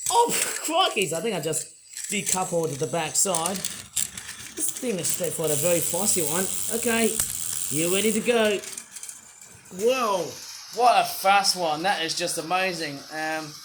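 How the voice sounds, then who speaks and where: A man with a high voice rambles through a toy review, chatty and playful. His voice sounds a little under the weather, and he breaks into sudden excited outbursts. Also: unhurried, a little hiss or hum, rough recording. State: voice not fully well. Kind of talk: casual toy review vlog.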